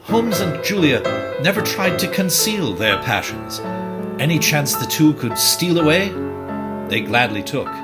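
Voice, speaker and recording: A man playing the narrator of a dark period tale, in formal, dramatic scripted storytelling. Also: fairly high pitch, very animated, measured pace, over loud music. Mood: wry, ominous. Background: musical accompaniment.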